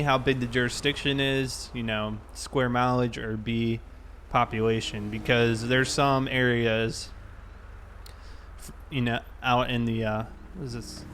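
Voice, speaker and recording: A man's voice: mid-range voice, fairly bright tone. Casual male game streamer, faint vehicle noise. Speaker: livestreamer playing a police officer. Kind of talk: casual livestream chat over gameplay.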